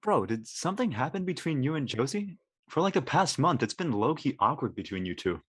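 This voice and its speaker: Man playing a husky-voiced prying teenage boy. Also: mid-range voice, big pitch swings, a little fast. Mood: prying, incredulous. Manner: slangy. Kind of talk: casual scripted drama dialogue.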